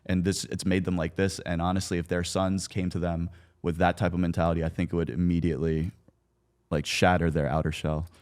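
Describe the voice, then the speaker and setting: Young American man, rapid colloquial chat, lower-leaning pitch, personal podcast talk. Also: flat delivery, a little mellow, clear, resonant tone. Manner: colloquial, peppered with "like" and "you know". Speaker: podcast participant. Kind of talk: casual personal conversation.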